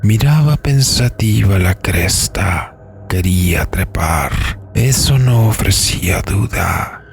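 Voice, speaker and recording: A man's voice: deep voice, animated, takes it slowly, gravelly, slight background noise. A man narrating a scripted horror audio story as its host.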